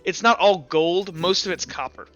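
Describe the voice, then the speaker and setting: A man's voice: high voice, steady tone, moderate pace, loud music behind the voice. A man speaking casually as game master, explaining and describing things in an improvised way. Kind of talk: narrating a tabletop roleplaying game, casual.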